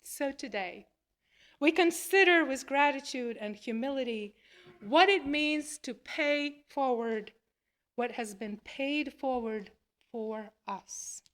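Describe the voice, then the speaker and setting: A woman minister with a high voice leads worship. She takes her time, speaking very slowly and setting out each phrase deliberately as she addresses the congregation. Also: expressive, a bit bright. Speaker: minister leading a church service. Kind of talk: worship address, part spoken, part read aloud.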